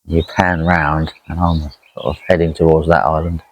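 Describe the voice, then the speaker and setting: A man's voice: extremely low pitch, little pitch movement, moderate pace, poor audio. A man chatting casually and unhurriedly as he narrates an outdoor fishing vlog by a lakeside, rambling as he goes. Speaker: angler vlogging a fishing trip.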